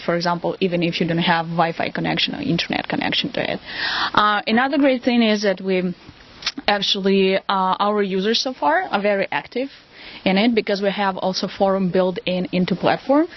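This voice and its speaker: Female company spokesperson with a Russian accent, medium-pitched, informal and hesitant, on lo-fi audio. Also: a little fast. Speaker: company representative being interviewed. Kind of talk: casual product interview. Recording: dull lo-fi audio.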